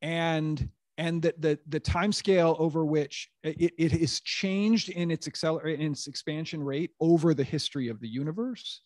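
A man's voice: fairly high pitch, normal speed, few pauses, darkish tone, no echo, clean studio sound. A man in his thirties moderating a science panel Q&A in a lecture room on a handheld mic, thinking aloud with frequent restarts.

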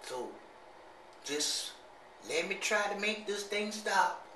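A man's voice: sits high, animated, slow pace. A middle-aged man putting on a rambling, simple-minded country sibling, drawling and teasing in a comic monologue to camera in a small home bathroom. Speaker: comedy vlogger. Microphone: handheld camera at arm's length. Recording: echoey bathroom, faint hiss.

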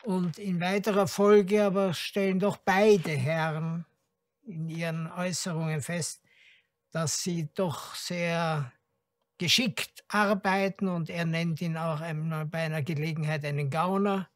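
An expert giving measured explanatory commentary in an interview. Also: mid-range voice, fairly even intonation, dry recording, studio quality.

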